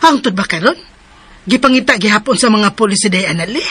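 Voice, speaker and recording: An actress playing a fretful, worried aunt in a radio drama. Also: female voice, medium pitch, animated, a little fast, fairly bright tone, husky. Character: worried middle-aged aunt, fretful and scolding.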